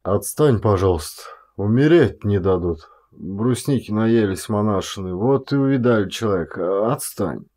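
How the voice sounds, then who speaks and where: A man's voice: fairly low pitch, lively intonation, normal speed, hardly any pauses, dark tone. A man playing a seasoned forest warden in an audiobook, folksy and grumbling, with a drawling way of talking. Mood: sleepy, annoyed.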